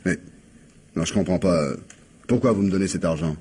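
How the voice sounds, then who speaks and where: An actor playing an anxious screenwriter, hesitant and evasive, in a French radio crime drama. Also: male voice, deep voice, normal speed, darkish tone, breathy edge. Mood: bewildered.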